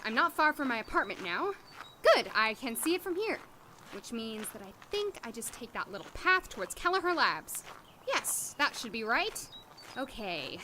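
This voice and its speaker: High, bright, flustered young woman doctor, acted. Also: slight background noise, heavily acted. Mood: musing, unsure. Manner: rambling, wry muttering to herself. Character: flustered, sarcastic, indignant young woman doctor new in town. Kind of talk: audio drama acting.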